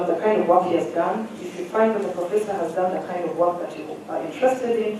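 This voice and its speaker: Adviser in an echoey hall. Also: medium pitch. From Uganda. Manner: direct, instructive, checks on the audience. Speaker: education adviser. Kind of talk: advice presentation to students. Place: large hall at a careers fair. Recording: echoey hall, distant mic, faint hum.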